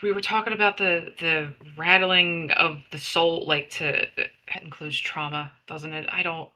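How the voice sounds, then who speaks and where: A woman's voice: low voice, fairly even intonation, unhurried, bright, forceful tone. A blunt, sweary woman chatting in character as a player in a tabletop game. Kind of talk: casual in-character game chat.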